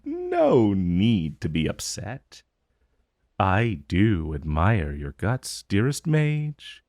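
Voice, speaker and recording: A man's voice: lowish voice, wildly swooping pitch, darkish tone, no echo, clean studio sound, heavily acted. A man playing an ancient dragon in human form, haughty and teasing with a vague menace, in a theatrical, condescending purr for a fantasy ASMR roleplay. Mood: mocking, amused. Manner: slow, theatrical, condescending purr with mocking endearments. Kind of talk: fantasy ASMR roleplay audio, addressing the listener.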